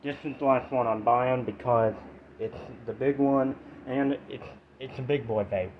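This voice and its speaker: A man in his twenties speaks in a nasal voice, with a halting, rambling delivery broken into short fragments. He sounds like a casual vlogger talking to his viewers. The audio is very thin and phone-like, with faint noise behind him. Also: mid-range voice, steady tone. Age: 20s. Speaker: YouTube vlogger reviewing products. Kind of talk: casual chat to camera, informal product review.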